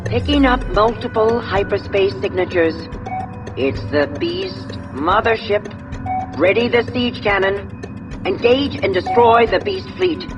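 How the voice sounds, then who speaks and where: An actress playing a resolute warship intelligence officer in a sci-fi game, measured and military in delivery. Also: female voice, mid-range voice, dull audio, lightly acted. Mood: commanding. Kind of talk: scripted sci-fi game dialogue. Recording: loud music under the voice.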